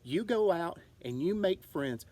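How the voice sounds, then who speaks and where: Children's read-aloud narrator, gentle and simple in explaining, medium pitch, leisurely and highly animated. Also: measured pace. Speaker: storyteller reading aloud to children. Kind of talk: expressive picture-book narration.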